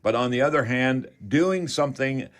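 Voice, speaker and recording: A man's voice: medium pitch, normal speed. An older man with a Canadian accent hosting a chatty radio call-in show in a folksy, rambling manner.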